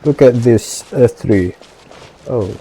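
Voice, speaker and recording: A man's voice: mid-range voice, slow pace, mellow, a little hiss or hum, poor audio. A man streaming a game, reacting casually in short phrases and thinking aloud.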